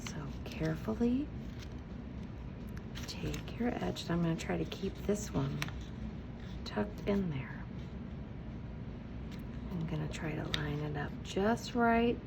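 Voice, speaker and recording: A woman's voice: on the low side, lively intonation, takes it slowly, creaky, long pauses, background noise. A woman teacher giving patient, encouraging step-by-step craft instructions to young children, often asking a question and answering it herself. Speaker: preschool teacher, host of a children's read-aloud and craft video.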